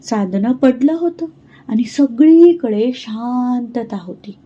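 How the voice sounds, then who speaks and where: A woman narrating a children's cartoon moral story in a slow, gentle, clear way. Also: on the high side, expressive, highs cut off.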